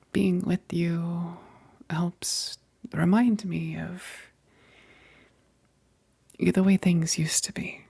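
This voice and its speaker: A woman plays a female vampire best friend in an ASMR roleplay, affectionate, hungry and a little self-conscious. Her low voice is very breathy and often drops to a whisper. She speaks extremely slowly, with tender hesitations, close to a dry microphone. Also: warm tone, dry recording, heavily acted. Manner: slow, hesitant, halting, tender.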